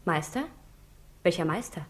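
A woman's voice: lowish voice, expressive, a little slow. An actress in a 1960s radio crime drama playing a composed, concerned young woman psychiatrist with a polite, questioning manner. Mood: worried.

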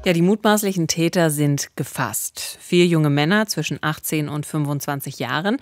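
A woman's voice: deep voice, moderate pace, faint music behind. A woman in her thirties co-hosting a true-crime podcast, narrating conversationally into a studio microphone. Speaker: podcast co-host. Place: small radio studio. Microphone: studio microphone with pop filter, headphones.

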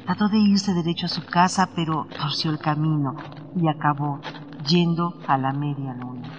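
A man's voice: a little high, normal speed, muffled recording, a little hiss or hum. A man reading scripted, literary narration for a radio drama. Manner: literary storytelling. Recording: music under the narration.

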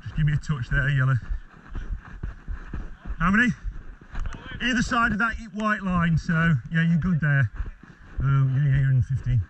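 A man refereeing a rugby match outdoors, calling loud commands to players with quick apologetic asides. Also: a little high, highs cut off. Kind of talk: on-field refereeing calls. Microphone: body-worn camera mic. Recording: distant wind-exposed body-cam audio.

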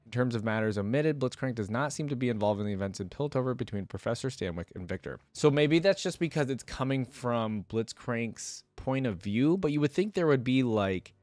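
A man's voice: medium pitch, expressive, fairly quick, few pauses, darkish tone, no room sound. A young man giving casual reaction commentary, reading aloud and musing conversationally into a close desk microphone. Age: young adult. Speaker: reaction video host. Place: indoor room at a computer desk. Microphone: close desk microphone with headset on.

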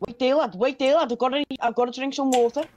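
A woman's voice: high-pitched, fairly even intonation, fast, darkish tone, dull audio. A woman in casual roleplay banter, cheeky, defiant and slangy, as a detained suspect.

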